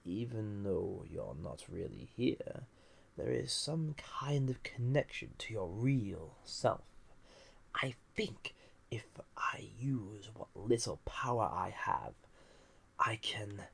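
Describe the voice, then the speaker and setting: A very husky, heavily creaking villain played by a man, banished to a dream world and lonely after ages there. He speaks low and very slowly, half-whispering his teasing, dangerous flirtation in an intimate audio drama. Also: animated, a bit bright, heavily acted. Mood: urgent, intent. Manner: teasing, languid, drawn-out pauses.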